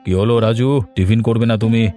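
A man's voice: medium pitch, little pitch movement, quick pace, dark tone, faint music behind, lightly acted. A man voicing a kindly middle-aged family man in a cartoon fairy tale, plain-spoken, familiar and firm but obliging.

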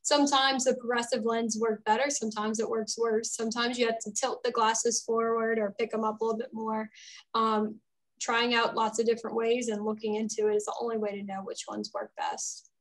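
A woman's voice: on the high side, level tone, brisk, rough recording. A young woman answering questions informally as a specialist on a webinar panel over a video call. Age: young adult. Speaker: speech-language specialist on a panel. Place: small office, indoors.

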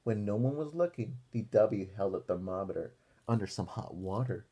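A young man reading a picture book aloud to children like a teacher, pausing to ask questions. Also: lowish voice, expressive, mellow, breathy edge. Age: young adult. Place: small room indoors.

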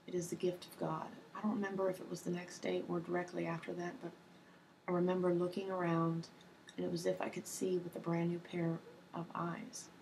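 A young woman reads in a soft, hushed ASMR manner, her voice hoarse from a cold and sitting low. Her delivery barely rises or falls. A faint hiss runs under the webcam recording of her small home office. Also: poor audio. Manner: soft-spoken, slow and even, with ums and uhs. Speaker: ASMR creator. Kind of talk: soft-spoken ASMR reading of a personal testimony.

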